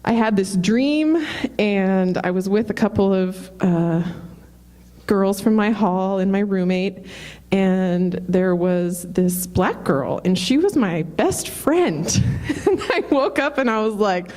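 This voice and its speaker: A woman's voice: medium pitch, animated, slow, darkish tone. A middle-aged woman sharing an earnest personal testimony, laughing often. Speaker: church member sharing testimony.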